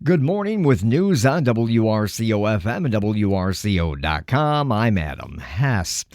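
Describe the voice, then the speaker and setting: A man's voice: on the low side, big pitch swings, a little slow, darkish tone, no echo, studio quality. American male radio news reporter. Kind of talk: scripted radio news delivery.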